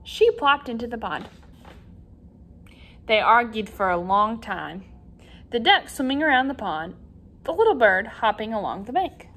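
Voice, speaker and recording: A woman's voice: on the high side, big pitch swings, unhurried, pauses often, a bit bright. A woman music teacher speaking expressively to young children in an online lesson and story read-aloud.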